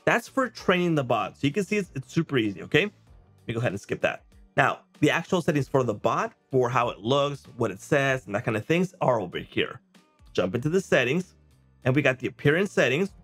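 A man's voice: medium pitch, sing-song, fairly quick, fairly bright tone, slightly rough. Man giving a casual tutorial over music. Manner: conversational, often checks in with okay and right. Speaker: software tutorial host. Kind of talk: casual screen-share walkthrough.

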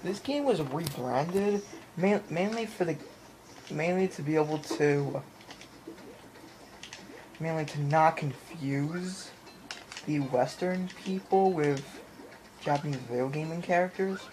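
A man giving casual let's play commentary in an excitable way, often repeating his phrases. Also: a little high, slow, long pauses, a little hiss or hum, low-quality recording. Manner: excitable, repeats phrases, mimics game sounds. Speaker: video game player and commentator. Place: indoor room at home, in front of a TV. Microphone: handheld camera or phone mic. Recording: handheld camera, game music in background.

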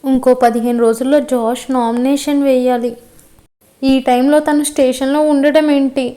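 A woman's voice: high voice, mellow, lightly acted. A woman playing an anxious, devout and stubbornly loyal young woman in a web series drama, with an earnest, pleading manner.

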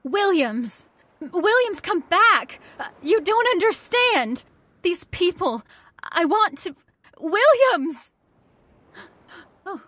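An actress playing an earnest, emotional young heiress with frightened idealism in a radio horror drama. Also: female voice, very high-pitched, big pitch swings, slow, long pauses, a bit bright, exaggerated voice. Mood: desperate.

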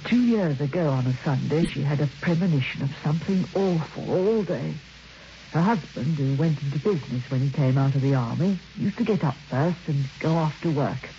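A woman's voice: low voice, mellow, close to an everyday voice. An actress playing a gossipy, plain-spoken, working-class woman in an old radio drama, hesitant and matter-of-fact. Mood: solemn. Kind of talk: old-time radio acting. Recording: dull, noisy old radio recording.

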